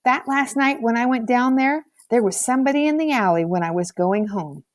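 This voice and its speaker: A woman's voice: on the high side, brisk, dry recording, voice pushed up, lightly acted. Lady doing cocky schoolboy in a kids book, slangy, indignant, brash, dry sound. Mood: boastful. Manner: slangy, brash, self-justifying. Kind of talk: storybook character voice.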